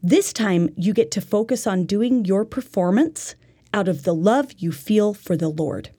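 Earnest woman teaching, slightly dark husky voice. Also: medium pitch, expressive, a little slow. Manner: earnest, instructive. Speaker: lesson presenter. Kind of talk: religious self-help lesson.

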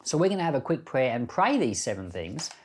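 A man's voice: mid-range voice, big pitch swings, fairly quick, husky. A man who is a pastor and podcast host, giving informal, plain-spoken Bible teaching and prayer, explaining by paraphrase.

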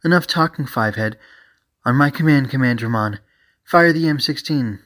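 A man voicing a hot-tempered, bossy female police squad leader in an indignant, loud audiobook read. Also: medium pitch, normal speed, pitched above the performer's own voice, lightly acted.

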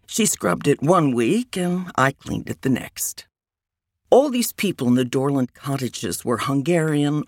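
Woman narrator for memoir reading, gravelly and animated in pitch, close dry mic. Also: dry recording. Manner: unhurried reminiscing narration.

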